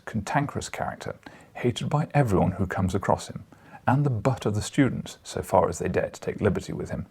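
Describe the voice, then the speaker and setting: A man voicing a gruff, sceptical bacteriologist in an audiobook, dry and blunt in manner. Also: lowish voice, darkish tone, full character voice. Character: gruff, sceptical male bacteriologist friend at a newspaper. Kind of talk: audiobook character dialogue.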